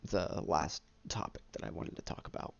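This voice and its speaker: A young adult man talking candidly about himself as a vlogger, halting and stuttering on words, earnest and self-deprecating. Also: deep voice, gravelly, no room sound, highs cut off. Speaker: YouTube vlogger. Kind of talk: candid personal monologue, casual chat. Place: small room indoors.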